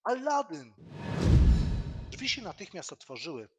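A man's voice: medium pitch, expressive, moderate pace, pushed and bright, slightly rough, soft background music. A man reading scripted fairy-tale narration in an audio drama.

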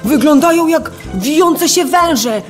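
A woman's voice: sits high, lively intonation, slow pace, faint music behind. A woman acting an eager, curious young boy explorer in a children's audio drama, full of wonder. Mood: excited. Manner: wondering, enthusiastic.

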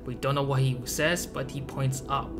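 Video essay narrator, medium pitch, scripted explanatory read, prominent music bed underneath. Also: flat delivery, moderate pace. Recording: loud background music. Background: loud music.

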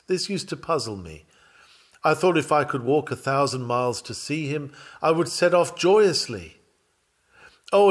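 A man reading a sermon aloud in an earnest, oratorical way, with emphatic, dramatic phrasing. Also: mid-range voice. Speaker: reader of a historical sermon.

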